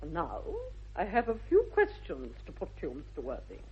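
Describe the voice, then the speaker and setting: Casting: snobbish, middle-aged English grande dame, upper-class accent, declamatory, husky voice, vintage radio comedy. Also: female voice, low voice, wildly swooping pitch, fairly quick, dark tone, heavily acted. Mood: haughty, indignant. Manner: haughty, pompous, declamatory. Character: imperious, snobbish aristocratic mother interrogating a suitor. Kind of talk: 1960s BBC radio comedy acting. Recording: dull old radio recording.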